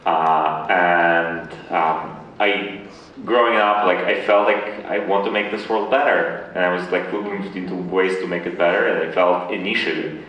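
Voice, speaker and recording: A man from Ukraine answering interview questions conversationally, with a halting delivery full of repeated words. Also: low voice, fairly even intonation, lo-fi sound. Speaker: interview guest, tech founder. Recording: very echoey room, distant mic, faint noise.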